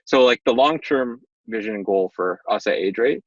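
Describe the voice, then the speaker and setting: A man in his twenties, a podcast guest, explaining things in a relaxed, rambling way with many fillers. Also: on the low side, moderate pace, no room sound, highs cut off. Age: 20s. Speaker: guest, startup tech executive. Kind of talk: casual interview chat.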